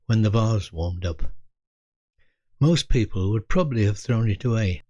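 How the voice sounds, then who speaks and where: Low-voiced elderly man narrating. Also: a little mellow, no echo. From Britain. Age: 80s. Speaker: storyteller recalling his youth. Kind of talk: scripted reflective memoir narration.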